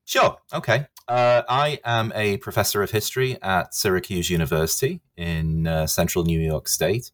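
Man, very low voice. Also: normal speed, few pauses. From England. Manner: hesitant, many uhs and restarts. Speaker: history professor, guest author. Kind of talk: podcast interview.

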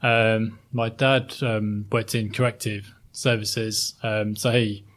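A middle-aged man from Sheffield with a softened, well-spoken Northern English accent, answering interview questions with many ums and restarts. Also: on the low side, level tone, takes it slowly. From Sheffield, England. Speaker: guest, former chartered accountant.

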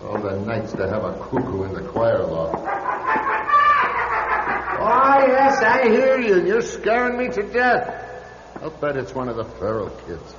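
A weary old priest, echoing, swooping pitch. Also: male voice, huge pitch swings, measured pace, pauses often. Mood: calling out, exasperated. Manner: muttering to himself, exclaiming, evasive. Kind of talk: old-time radio drama acting. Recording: dull, noisy radio recording in echoing hall.

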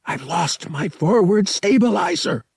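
Tough young starship pilot, acted by a male narrator: gravelly, rough-edged, commanding, impatient, audiobook sci-fi. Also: sits high, lively intonation, measured pace, no room sound, clean studio sound, pitched above the performer's own voice, full character voice. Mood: alarmed, urgent. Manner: curt, commanding.

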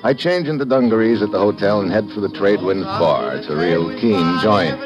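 A very low-pitched, hard-boiled American agent played by a man from an old radio thriller. He is wry and wisecracking, narrating his own adventure on a vintage recording with music. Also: normal speed, dull audio, lightly acted. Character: wisecracking, two-fisted male government agent. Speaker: lead actor and first-person narrator. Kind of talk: 1950s radio drama acting.

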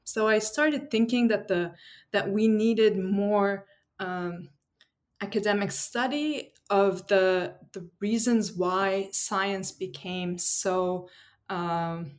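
Thoughtful woman from Turkey, halting. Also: mid-range voice, fairly even intonation. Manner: thoughtful, many ums and restarts. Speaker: political science professor, guest. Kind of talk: academic interview answers.

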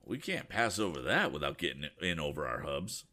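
A man's voice: very deep voice, very animated, normal speed, bright, forceful tone, exaggerated voice. A man voicing an earnest young male inventor in a storybook reading, talking urgently.

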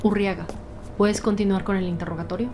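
A woman playing a firm, authoritative special agent who questions formally and deliberately in a crime audio drama. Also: mid-range voice, fairly even intonation, noisy background, close to an everyday voice. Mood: commanding.

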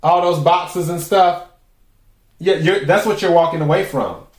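A man with a raspy voice hosting a podcast, giving a casual, chatty tarot reading and musing aloud with drawn-out pauses. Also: on the high side, darkish tone. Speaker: tarot reader and podcast host. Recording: roomy echo.

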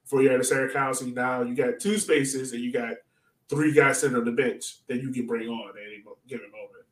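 A man's voice: medium pitch, steady tone, a little fast. A man hosting a casual sports podcast, chatting and asking questions in a loose, conversational way. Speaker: podcast host. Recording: roomy echo, distant mic.

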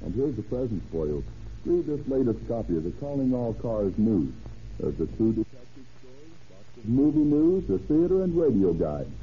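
A man's voice: medium pitch, very animated, moderate pace. An actor playing a confident, eager gas station salesman with emphatic patter in a 1930s radio advertisement. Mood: confident selling. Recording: tinny, hissy old radio recording.

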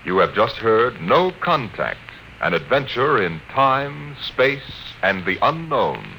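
A man hosting a 1950s radio drama, reading scripted narration in a dramatic, measured announcing manner. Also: medium pitch, sing-song, pushed and bright, soft background music. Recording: noisy old radio recording.

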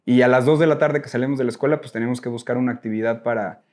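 A man's voice: mid-range voice, fairly even intonation, very fast, darkish tone. A man from Mexico with a Mexican accent, telling personal stories casually as an interview guest, rambling and correcting himself. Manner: informal, self-correcting, rambling.

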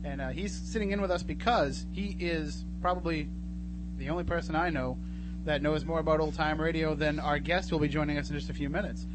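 A man's voice: a little high, fairly even intonation, fairly quick, very noisy. A man hosting a radio show in a casual, chatty and jokey way from a studio.

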